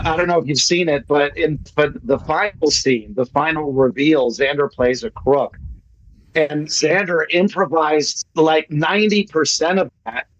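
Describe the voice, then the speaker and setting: A man with a slightly high voice talks casually as a guest playwright and actor. He is on a lo-fi online call with very little room sound. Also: fairly bright tone, no room sound. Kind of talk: casual discussion in an online meeting. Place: online video meeting. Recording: dull lo-fi video call audio.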